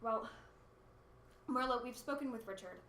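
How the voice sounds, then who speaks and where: Woman acting anxious radio host, bright echoey voice. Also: on the high side, unhurried. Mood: urgent, informative. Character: anxious, determined female radio host during a disaster. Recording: roomy echo with faint noise.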